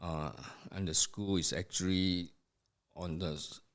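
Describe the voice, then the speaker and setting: An older man from Singapore reminisces about his family's past in a podcast conversation. His voice sits very low and deep, and he talks at an unhurried, slow pace. He pauses often, restarting his sentences and filling the gaps with "uh" as he goes. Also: fairly even intonation. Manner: slow, halting storytelling with many fillers and restarts. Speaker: podcast guest, host's father. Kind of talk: family history interview, reminiscing.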